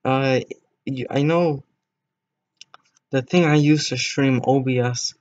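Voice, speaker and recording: A man's voice: mid-range voice, fairly even intonation, slow, a little mellow, lo-fi sound. A teenage boy streaming a game, chatting casually with viewers in a rambling way, voice cracking now and then. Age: teens. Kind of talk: casual gameplay stream chat.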